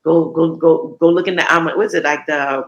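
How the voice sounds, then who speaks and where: A woman's voice: deep voice, fairly even intonation, moderate pace. A woman in her thirties, an entrepreneur from the United States, chatting in an interview with lots of restarts and fillers. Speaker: recycling entrepreneur, guest. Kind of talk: casual interview talk.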